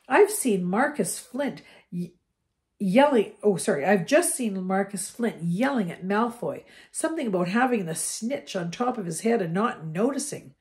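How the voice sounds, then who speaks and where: A woman voicing a cheerful teenage boy who talks with eager excitement. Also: mid-range voice, moderate pace, pitched above the performer's own voice, natural voice. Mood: excited.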